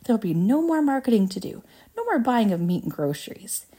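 A woman voicing an excitable, boastful male candy inventor in a storybook, with a grandiose, gushing, emphatic manner. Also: fairly high pitch, brisk, husky, voice pushed up. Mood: grand, gushing.

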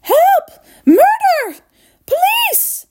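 A woman's voice: very high-pitched, big pitch swings, extremely slow, a little mellow, voice pushed up, exaggerated voice. A woman voicing a frantic, scolding mother in a children's storybook, screeching and exclaiming in panic. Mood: panicked screaming. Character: frantic, shrieking, scolding mother of a greedy boy. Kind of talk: children's storybook read-aloud.

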